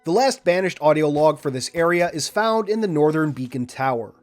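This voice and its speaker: A man reading a scripted video game walkthrough in a measured, orderly, instructional way. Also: on the high side, lively intonation, darkish tone. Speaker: video game guide narrator. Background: background music.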